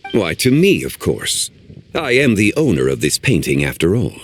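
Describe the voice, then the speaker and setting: Deep, formal scholar. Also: male voice, big pitch swings, a little hiss or hum. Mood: calm, courteous. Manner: formal, measured, erudite. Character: composed ancient scholarly man, formal and unhurried. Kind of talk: video game voice acting. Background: faint game music.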